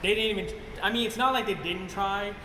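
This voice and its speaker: A man with a moderately high voice gives his take in a casual movie review. His delivery is informal and halting, circling back for emphasis. He sounds recorded in a reverberant space with noticeable background noise. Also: pushed and bright. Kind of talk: casual unscripted film review chat. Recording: echoey reverberant room, clear background noise.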